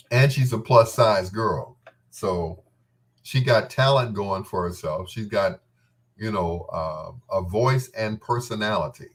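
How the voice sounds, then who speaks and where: A man hosting a talk show with a casual, unscripted monologue, gossipy and emphatic, often repeating his phrases. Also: mid-range voice. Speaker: host of a bedtime talk show.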